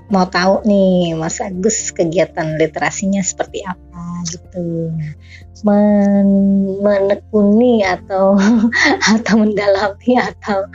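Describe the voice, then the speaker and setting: A woman's voice: medium pitch, a little mellow, slightly rough. A chatty, giggly woman hosting a casual interview podcast. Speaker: podcast host. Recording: dull lo-fi audio with background music.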